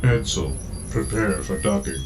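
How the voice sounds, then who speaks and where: A man's voice: rumbling low, expressive, a little slow, noisy background, voice pushed down. A man voicing a prim, scolding spaceship computer with formal, stilted delivery in a sci-fi audio comedy. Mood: prim, officious.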